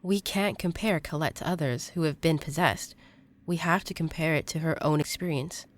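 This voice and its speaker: A woman playing a defensive, stubborn young occultist in an audio drama, speaking informally in halting challenges. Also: low voice. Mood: earnest insistence.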